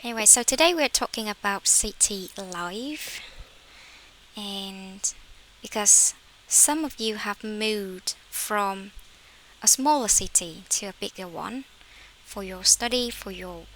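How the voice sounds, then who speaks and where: A woman's voice: medium pitch, slow pace, fairly bright tone, slight background noise, rough recording. A woman, an English teacher leading a class conversation and correcting her students' phrasing. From Vietnam.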